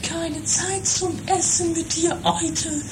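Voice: very high-pitched, little pitch movement, slow, heavily acted. A performer voicing a bossy, doting girlfriend in an improvised eighties comedy radio play. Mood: coaching. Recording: very noisy home radio play.

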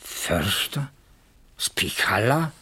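An actor playing a puzzled, slightly defensive East German factory manager in a radio crime drama, with a husky voice and hesitant, earnest manner. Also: male voice, fairly low pitch, big pitch swings, drawn-out words, sharp tone, heavily acted. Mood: puzzled, matter-of-fact.

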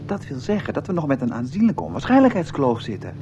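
A man's voice: medium pitch, wildly swooping pitch, quick pace. A man playing a flippant, swaggering space rogue in a radio drama, slangy and determinedly nonchalant. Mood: excited, incredulous. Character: cocky, self-consciously cool, flippant space rogue. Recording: dull, noisy old radio play.

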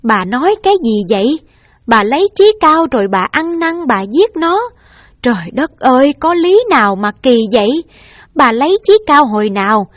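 Woman voicing a stern reproachful father, higher-pitched voice, dry close sound. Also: animated, measured pace, no echo. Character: stern middle-aged father, a district official.

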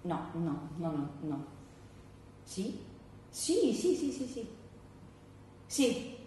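A woman's voice: lowish voice, very animated, drawn-out words, warm tone, pitched below the performer's own voice, heavily acted. Woman acting an over-eager job seeker, very echoey. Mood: anxious, agreeable. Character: eager, desperate female job applicant, thirty-four, over-agreeable. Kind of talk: theatrical satirical monologue. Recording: very echoey stage, distant mic, faint noise.

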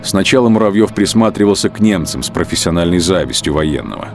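A man with a very low voice narrates a radio drama in a grave, steady way. Soft music plays quietly behind him. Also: quick pace.

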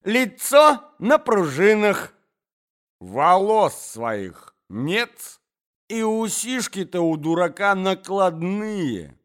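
A man voicing a furious, scheming provincial hostess who shouts and hisses. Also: a little high, steady tone, takes it slowly, few pauses, pitched above the performer's own voice. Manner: shouting, hissing.

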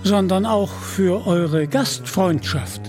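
Storybook warrior king, authoritative and teasing, measured pace, high for a man male narrator plays him. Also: a little mellow, soft background music. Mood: probing, friendly. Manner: stately, authoritative. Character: commanding, teasing king disguised as armoured leader.

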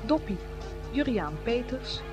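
Slow, high-voiced woman announcer. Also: big pitch swings, highs cut off, music in the background. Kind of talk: formal scripted cast announcement.

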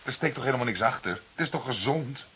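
An actor playing a skeptical, outspoken junior police detective in a Dutch radio drama, argumentative and emphatic. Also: male voice, medium pitch, lively intonation, fast talker, a bit bright, slightly rough. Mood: exasperated, skeptical. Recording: hissy old radio play recording.

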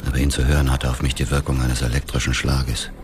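An actor playing a grief-haunted, obsessive man who narrates a radio crime drama in a brooding, measured way. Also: extremely low pitch, little pitch movement, noisy background. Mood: brooding, tense. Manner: brooding, measured first-person telling. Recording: music behind the voice.

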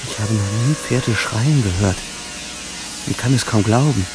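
An actor playing a young German soldier who narrates the horrors of the front in terse, intense fragments for a radio play. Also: male voice, on the low side, a little slow, background noise. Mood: anguished, somber. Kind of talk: dramatic radio play narration in present tense. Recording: loud war effects and music underneath. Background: music, battle sound effects.

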